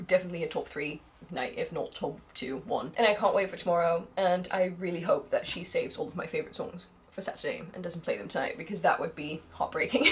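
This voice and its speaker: A woman's voice: lowish voice, a bit bright, a little hiss or hum. A young woman whose first language is Dutch, gushing in a casual vlog chat, laughing often, her voice strained from screaming. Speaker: vlogger.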